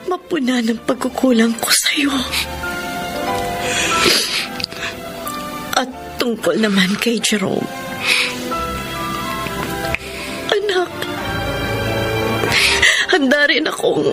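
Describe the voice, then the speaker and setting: An actress playing a remorseful, tender mother in a Filipino radio drama, halting and hushed with emotion. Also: high-pitched. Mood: tearful remorse. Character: remorseful, tender mother seeking forgiveness from her family.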